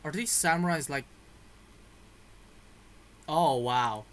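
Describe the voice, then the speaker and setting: A man's voice: fairly high pitch, slow, a bit bright, slight background noise. A man giving casual reaction commentary as he plays a video game.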